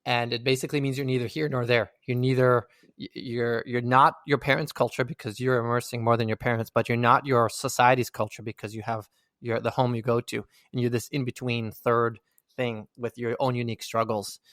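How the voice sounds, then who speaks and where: A man's voice: medium pitch, flat delivery, normal speed, a bit bright, no room sound, studio quality. A young man hosting a podcast, asking long rambling questions in a chatty, friendly way. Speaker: podcast host. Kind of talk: casual interview talk.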